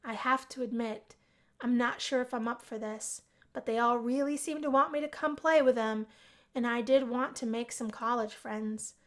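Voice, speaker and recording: A shy young college woman, voiced by a woman reader in a visual novel. Her voice is high and her delivery tentative, as if never quite sure of herself. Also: moderate pace, close to an everyday voice. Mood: unsure. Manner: hesitant, tentative.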